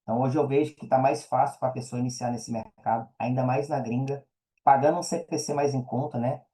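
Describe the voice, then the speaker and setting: A man's voice: mid-range voice, steady tone, fairly quick, warm tone. A man with a Brazilian accent in a casual podcast chat, talking in a slangy, conversational way and repeating words for emphasis.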